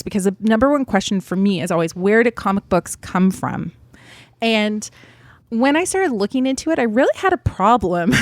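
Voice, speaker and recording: A woman's voice: mid-range voice, no echo. A woman co-hosting a podcast, explaining comic book history in a chatty way with fillers and small laughs. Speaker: podcast co-host. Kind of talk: casual explanatory podcast chat.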